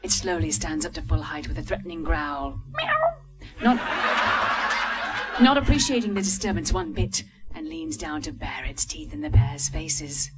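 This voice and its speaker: A woman reading stage directions at a live script reading, brisk and amused, with the odd slip. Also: deep voice, animated, over loud music, poor audio. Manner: brisk, amused, occasional slips.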